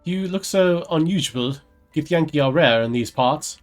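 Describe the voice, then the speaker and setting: A man's voice: fairly high pitch, expressive, moderate pace, faint music behind, close to an everyday voice. A man voicing a curious male adventurer, reading video game dialogue aloud in a conversational, questioning way. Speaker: gamer voicing his player character.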